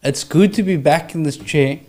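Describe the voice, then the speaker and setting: A man's voice: fairly high pitch, moderate pace, a little mellow. A man hosting an interview in a playful, informal way, often stammering and repeating words. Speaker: host. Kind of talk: interview conversation.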